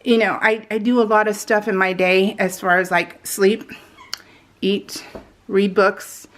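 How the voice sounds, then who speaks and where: A woman's voice: mid-range voice, little pitch movement, unhurried, fairly bright tone. A woman chatting casually and ramblingly to her viewers as a craft video host, in a giggly, self-deprecating manner. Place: indoors at home, voice-over recorded while crafting.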